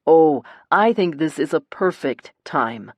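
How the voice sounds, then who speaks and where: A woman's voice: sits low, expressive, unhurried, dry recording. A woman voicing a bitter, protective young brother in an audiobook, with a cold, clipped, tight-jawed delivery. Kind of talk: audiobook character dialogue.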